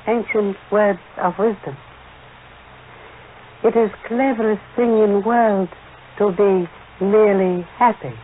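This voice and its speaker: An actor playing a wise, courteous Chinese detective who speaks formally in proverbs with dropped articles, in an old radio mystery. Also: male voice, sits high. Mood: serene, sage. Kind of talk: 1940s radio mystery acting. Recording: tinny, noisy old-time radio broadcast recording.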